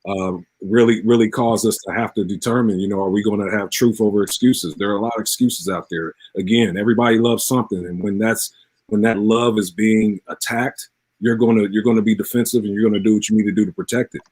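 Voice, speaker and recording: Guy in his 40s, pastor type, low voice, talks earnest and emphatic like preaching in an interview, dry sound. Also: steady tone, fast talker, a little mellow, breathy edge, dry recording.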